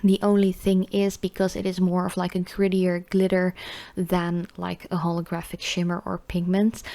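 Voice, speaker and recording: A woman's voice: on the low side, warm tone, dry recording. A young woman with a Dutch accent, chatting casually with many fillers as she reviews nail polish. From Netherlands.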